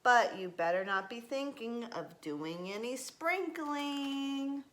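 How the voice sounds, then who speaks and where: Woman as a firm, bright-voiced storybook mama cat. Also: on the high side, expressive, takes it slowly, a little hiss or hum. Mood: firm, fond. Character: firm but fond storybook mother cat. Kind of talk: children's storybook read-aloud.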